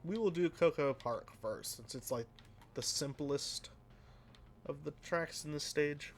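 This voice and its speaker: A man casually commenting while he plays a racing game, rambling and self-deprecating, with a flat, slightly nasal voice that trails off into mumbles. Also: on the high side, unhurried, a little hiss or hum. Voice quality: sounds flat and a bit nasal, by his own account. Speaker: let's play host.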